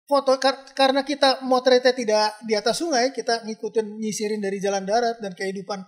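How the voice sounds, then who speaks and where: A man's voice: very high voice, steady tone, fairly quick. A man from Indonesia, a photographer guest, telling rambling stories in a casual interview with many fillers. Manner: chatty, rambling storyteller with frequent fillers.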